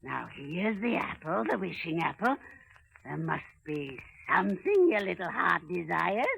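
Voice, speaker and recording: Casting: wicked old witch disguised as granny, heavily croaking, sharp-edged, theatrical vintage radio drama. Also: on the low side, very animated, a little slow, full character voice. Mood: wheedling. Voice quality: cackling, creaky. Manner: wheedling, sly, theatrical. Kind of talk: 1930s radio acting. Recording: noisy vintage 1930s radio broadcast.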